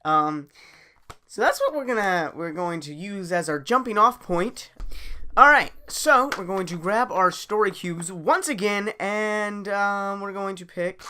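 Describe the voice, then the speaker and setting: Slow-paced man improvising a story. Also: sits high, sharp tone, smooth. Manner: halting, thinking aloud. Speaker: storyteller, host.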